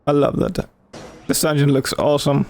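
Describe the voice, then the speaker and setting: A man's voice: fairly high pitch, fairly even intonation, moderate pace, gravelly, darkish tone. A man giving casual, chatty commentary as a gamer while he plays.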